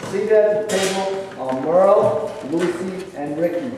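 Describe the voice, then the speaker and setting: Distant, with long hall reverb and clear noise, a man narrates stage directions for a play reading. His fairly high voice moves slowly.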